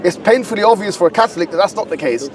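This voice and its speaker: A man with a London accent arguing forcefully in an outdoor street debate, lecturing and insistent. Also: high-pitched, lively intonation, background noise. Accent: London English. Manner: argumentative, lecturing, quick and insistent. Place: outdoor public park with crowd. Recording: distant street recording with crowd around.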